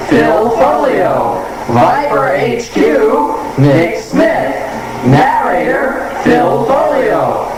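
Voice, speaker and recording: A man reading radio play credits as an announcer, on an echoey cassette with loud music. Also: high-pitched.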